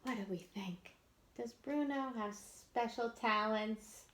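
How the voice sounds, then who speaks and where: A woman reading a picture book aloud to young children in an expressive storyteller's manner, with questions for her listeners. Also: on the high side. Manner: slow and expressive, pausing to ask listeners questions.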